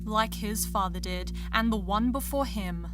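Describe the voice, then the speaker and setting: A woman's voice: mid-range voice, unhurried, a bit bright, faint music behind, natural voice. A woman playing a stern, accusing chorus voice in a theatrical audio tragedy. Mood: ominous. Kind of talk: dramatic theatrical audio play.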